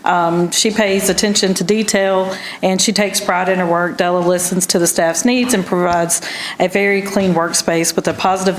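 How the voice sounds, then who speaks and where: Woman, fairly low pitch, prepared tribute, podium mic, echoey room. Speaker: staff award nominator. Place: indoor government meeting room. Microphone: podium microphone. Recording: echoey noisy meeting room.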